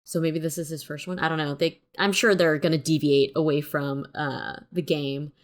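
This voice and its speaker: A woman's voice: low voice, steady tone, moderate pace. A woman co-hosting a casual chat, speaking informally and loosely. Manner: informal, peppered with "like". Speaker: co-host.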